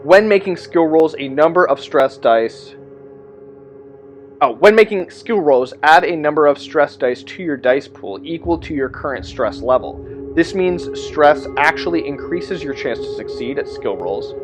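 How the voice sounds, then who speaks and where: A man with a slightly high voice talks through tabletop game rules in a casual, conversational way. He shifts between reading out text and loose asides of his own, with faint music playing behind him. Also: lively intonation, a little slow. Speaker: presenter reviewing tabletop roleplaying games.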